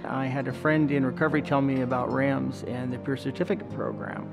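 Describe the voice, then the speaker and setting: Flat, gravelly man over music. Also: mid-range voice, fairly quick. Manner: flat, plain-spoken storytelling. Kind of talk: documentary interview. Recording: interview with instrumental music.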